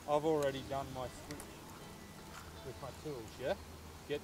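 Man teaching outdoors, slightly high. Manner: keeps checking with a questioning "yeah?". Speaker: horse training instructor. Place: outdoor horse paddock. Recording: loud outdoor noise, distant camera.

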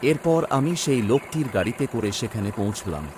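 A man's voice: mid-range voice, expressive, normal speed, background noise, close to an everyday voice. A man playing a poor, worried young village son, narrating his hardship in a scripted cartoon story. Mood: anxious, weary. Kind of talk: first-person scripted horror cartoon narration.

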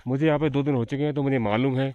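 A man's voice: mid-range voice, steady tone, very fast, no room sound, highs cut off. A young man vlogging casually outdoors on a mountain, chatting straight to his viewers. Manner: chatty, addresses viewers directly. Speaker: travel vlogger. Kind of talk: casual vlog narration.

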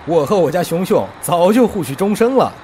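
A man's voice: high voice, very animated, a little fast, darkish tone, a little hiss or hum. A man in an amateur audio drama playing a cheeky, playful man who dotes on his younger boyfriend. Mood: playful teasing. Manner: playful, teasing.

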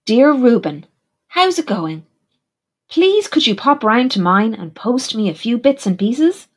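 A woman's voice: medium pitch, slightly rough, full character voice. A woman voicing a cheerful, polite, enthusiastic male bear in a children's storybook. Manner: bright-spirited, eager, polite.

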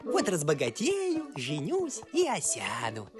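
Greedy cartoon horse, high voice, swooping intonation, glib, self-important, theatrical. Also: male voice, huge pitch swings, takes it slowly, over music, heavily acted. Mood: dreamy, weary. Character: vain, greedy, smooth-talking male talking horse. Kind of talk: animated film comedy acting.

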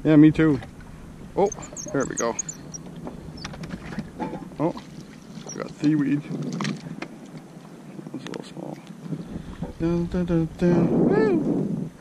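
A man's voice: a little high, wildly swooping pitch, takes it slowly, pauses often, dull audio. A man filming himself fishing from a small boat outdoors, giving playful, exclaiming commentary and humming to himself. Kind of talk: casual running commentary. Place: small motorboat on a lake, outdoors. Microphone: action camera on the boat. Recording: loud wind buffeting the mic. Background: wind and boat noise.

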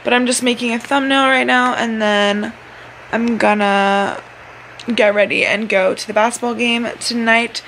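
Bubbly young American woman, high-pitched. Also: steady tone, measured pace, fairly bright tone. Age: teens to young adult. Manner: bubbly, giggly, exclamatory. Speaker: vlogger, high school student. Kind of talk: casual vlog chat. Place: car, classroom, gym, bedroom. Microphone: handheld camera at arm's length. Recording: handheld vlog audio, chatter and background noise.

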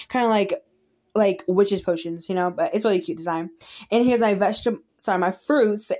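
A woman's voice: medium pitch, warm tone. A woman YouTuber narrating a casual game walkthrough in a chatty, rambling way, often restarting her sentences while filming a tablet screen with her phone.

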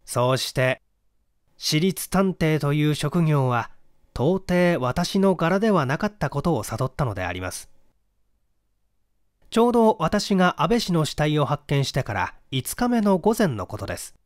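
Animated, high-pitched male storyteller. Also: measured pace, no room sound, clean studio sound. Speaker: audiobook reader, stage actor. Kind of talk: dramatic scripted narration of a classic detective story.

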